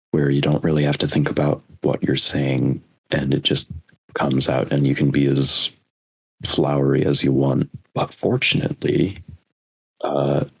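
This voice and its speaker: A man's voice: extremely low pitch, breathy edge. A young American man in his twenties co-hosting a podcast, chatting casually in a rambling, reflective way. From United States. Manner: rambling, reflective, with frequent restarts. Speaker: podcast co-host. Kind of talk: casual chat.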